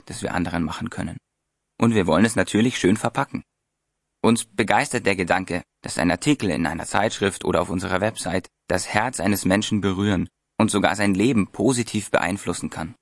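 A man who works as a translator, answering interview questions in calm, earnest, read-out sentences. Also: low voice, fairly quick, dry recording, clean studio sound.

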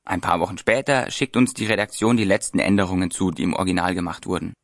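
A man who works as a translator, answering interview questions in calm, earnest, read-out sentences. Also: deep voice, fast, dry recording, clean studio sound.

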